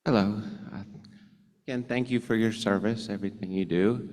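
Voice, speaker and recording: A man, a parent and self-described slow speaker, politely giving public comment with many ums. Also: on the low side, fairly even intonation, darkish tone. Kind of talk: public comment at a board meeting. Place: large meeting hall. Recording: long hall reverb.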